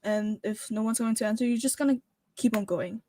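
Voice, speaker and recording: A woman from Switzerland with a Swiss accent, a guest streamer chatting casually, giggly and halting with many fillers. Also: on the high side, fairly even intonation, heavy background noise. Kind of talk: casual chat.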